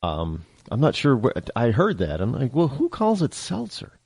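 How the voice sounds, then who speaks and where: Rambling radio host, upstate New York accent. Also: male voice, mid-range voice, huge pitch swings, normal speed, darkish tone, husky, no echo, studio quality. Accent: central upstate New York. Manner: rambling, digressive, many restarts. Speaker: radio talk show host. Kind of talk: casual call-in radio talk.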